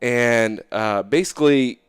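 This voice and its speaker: Smooth-voiced man telling his story. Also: mid-range voice, slow pace, dry recording, clean studio sound. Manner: animated, casual, frequent "like" and "right?". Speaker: interview guest. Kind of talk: casual storytelling interview.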